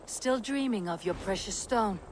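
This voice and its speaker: A woman's voice: medium pitch, lively intonation, unhurried, a little hiss or hum, close to an everyday voice, rough recording. A woman playing a stoic, pragmatic Viking warrior in a video game, with a blunt, no-nonsense manner. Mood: curt, questioning. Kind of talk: video game cutscene acting.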